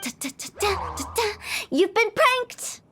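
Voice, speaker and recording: Teasing young funeral director. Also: female voice, extremely high pitch, sing-song, slow pace, creaky, a bit bright, a little hiss or hum, exaggerated voice. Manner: teasing, theatrical, giggly. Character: playful mischievous young female funeral parlor director. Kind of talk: video game voice acting.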